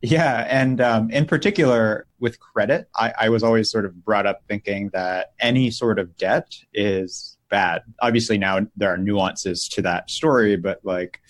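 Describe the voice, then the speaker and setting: Deep-voiced young man, thoughtful guest. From Philippines, raised in the US. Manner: thoughtful, with frequent restarts and "like" fillers. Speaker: podcast guest. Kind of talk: casual interview chat.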